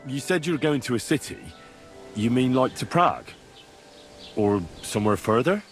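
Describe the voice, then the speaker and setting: A man's voice: medium pitch, animated, moderate pace, close to an everyday voice. A man playing a down-on-his-luck young medieval squire in a video game, curious and dryly sardonic, with an informal, questioning manner. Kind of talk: video game dialogue acting. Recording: background music under the dialogue.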